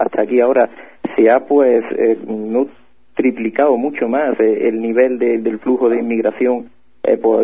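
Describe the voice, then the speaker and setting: Husky Spaniard on a phone line. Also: male voice, mid-range voice. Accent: Spanish (Spain). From Spain. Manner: hesitant, repeats words. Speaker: guest. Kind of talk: earnest commentary.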